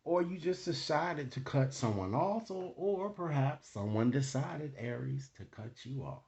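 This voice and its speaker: A man's voice: medium pitch, animated, darkish tone. Man giving a tarot reading, dull sound. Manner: halting delivery with frequent tag questions. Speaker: tarot card reader, online host. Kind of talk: improvised tarot reading addressed to viewers.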